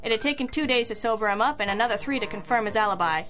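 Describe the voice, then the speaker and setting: A woman's voice: a little high, racing, fairly bright tone, natural voice. A woman playing a wry, self-assured girl detective, narrating an old-school radio mystery in a dry, wisecracking manner. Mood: wry, brisk. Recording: music under the voice.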